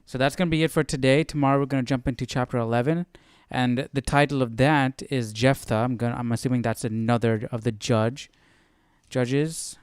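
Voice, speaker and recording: A man's voice: medium pitch, fairly even intonation, brisk, smooth, no echo, clean studio sound. A man hosting a Bible study livestream with casual, conversational commentary, repeating phrases for emphasis.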